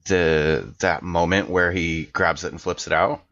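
A man with a low voice talks casually as a player in a tabletop game. The sound is a little dull and lo-fi. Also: fairly even intonation, unhurried, fairly bright tone. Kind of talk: casual in-character game chat.

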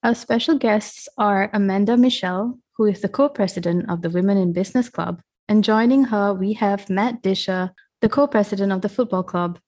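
A woman's voice: medium pitch, normal speed, darkish tone. A woman hosting a podcast, introducing guests and asking a first question politely. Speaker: podcast host. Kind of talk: scripted interview introduction.

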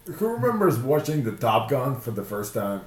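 A man's voice: mid-range voice, sing-song, moderate pace. A man from Ukraine, chatting casually and bluntly, swearing and stammering over repeated words. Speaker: podcast host. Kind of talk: casual chat. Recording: echoey room, clear background noise.

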